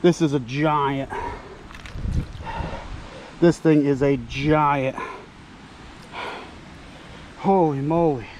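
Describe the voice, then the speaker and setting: A man filming himself from a kayak, his voice sitting a bit high and his words coming slowly. He gasps and talks to the fish he is landing. The outdoor action-camera audio carries a faint hiss of wind and water. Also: steady tone, darkish tone. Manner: breathless exclamations, talks to the fish. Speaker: fishing vlogger. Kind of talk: excited self-filmed fishing commentary. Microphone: head-mounted action camera.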